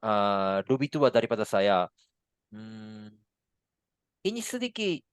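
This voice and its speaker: A man from Osaka with a Japanese accent, a language student speaking hesitantly with many pauses and fillers. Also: fairly low pitch, very animated, clear, resonant tone, dry recording, studio quality.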